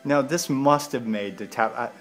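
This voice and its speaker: A man asking questions in a casual interview, informal and a little halting in his phrasing. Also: medium pitch, over music.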